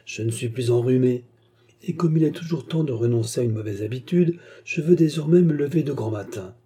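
Guy doing a sweet young lady from a storybook, coaxing n affectionate, dark husky voice, a bit lifted. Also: mid-range voice, fairly quick, lightly acted. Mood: smiling, reassuring. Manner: caressing, coaxing. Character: gentle young gentlewoman, affectionate, coaxing, quietly determined. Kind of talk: audiobook character dialogue.

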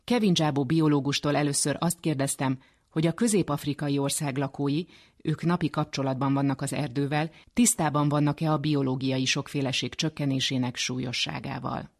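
A man's voice: fairly high pitch, fairly even intonation. A man presenting a scripted radio documentary as narrator and interviewer. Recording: music behind the voice. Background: music.

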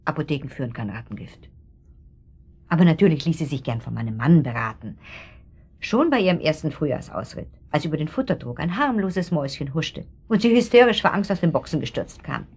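An actress playing a gossipy, middle-aged upper-class pharmacist's wife in a German radio drama, insinuating and pointed, with trailing asides. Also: female voice, deep voice, sing-song, a little fast, a little mellow, husky, exaggerated voice.

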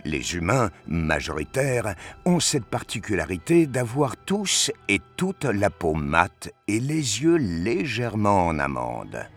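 A man narrating a scripted science-fiction audio story in a deliberate, dramatic storytelling manner. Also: on the low side, big pitch swings, a bit bright, soft background music.

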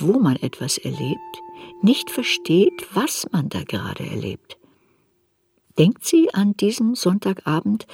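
A woman's voice: fairly low pitch, darkish tone, slightly rough, no echo, clean studio sound, full character voice. An actress playing an adult woman memoirist who searches her girlhood unsparingly, in literary radio play narration.

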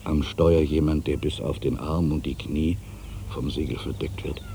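An actor playing an aging, weary writer in a literary monologue, brooding and wry, with a gravelly voice and deliberate, weighted pauses. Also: male voice, rumbling low, fairly even intonation, dark tone, a little hiss or hum. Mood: watchful, musing. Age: older.

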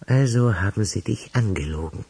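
A man's voice: lowish voice, lively intonation, normal speed, mellow, exaggerated voice. An actor playing an earnest, urgent and protective small man in a radio crime drama. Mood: grave, urgent. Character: earnest, urgent male dwarf, protective of young woman. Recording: clear music behind the dialogue.

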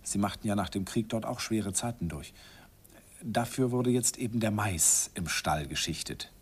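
A man's voice: on the low side, normal speed, husky. An actor narrating a radio play as a middle-aged man fondly recalling his first love, in a wistful, reminiscing way.

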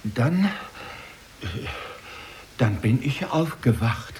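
An actor playing a humble, nervous, long-winded poor Russian merchant in a children's radio play. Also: male voice, mid-range voice, animated, darkish tone, a little hiss or hum. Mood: nervous, eager. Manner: long-winded, stammering when hurried.

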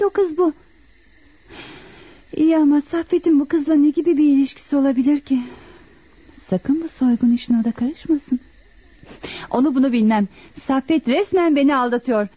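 A woman's voice: fairly high pitch, dark tone, slightly rough, dry recording. A woman playing a jealous, haughty young fiancée in a radio drama, bossy and indignant. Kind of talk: Turkish radio drama acting. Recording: muffled radio drama recording.

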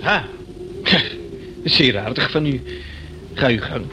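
A man's voice: mid-range voice, lively intonation, slow. An actor playing an eccentric, easygoing young man in a radio play, musing and amused, with some bashful hesitation. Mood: polite, guarded. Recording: noisy old radio drama recording.

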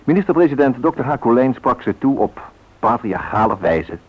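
A man narrating a scripted radio documentary drama in a measured, literary storytelling manner. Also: medium pitch, sing-song, slightly rough. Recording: narrow phone-like radio sound.

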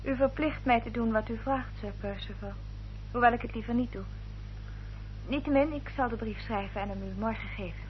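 An actress in a Dutch radio play, playing a reserved, dutiful English gentlewoman with a formal, restrained manner. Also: fairly high pitch, brisk, close to an everyday voice. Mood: reluctant, polite. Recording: dull, noisy old radio drama recording.

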